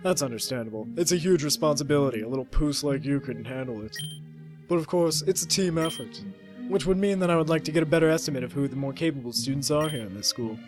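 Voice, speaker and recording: A man voicing an ambitious, self-assured student in a visual novel, speaking in a formal, measured way. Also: on the high side, over music, natural voice.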